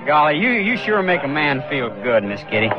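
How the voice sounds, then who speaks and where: An actor playing a naive, hot-headed young cowboy, folksy and boyish, in a 1950s Western radio drama. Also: male voice, fairly high pitch, sing-song, fairly quick, music in the background. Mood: bashful, tipsy. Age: young adult. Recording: muffled 1950s radio recording.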